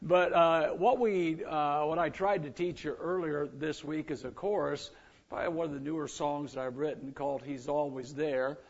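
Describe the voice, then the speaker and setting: Older man with a gravelly singing voice. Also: a little high, fairly even intonation, normal speed. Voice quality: gravelly rough voice. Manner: folksy, self-deprecating patter between songs. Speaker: visiting gospel singer. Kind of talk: church singing and chat. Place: church service. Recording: muffled, lo-fi with instrumental backing.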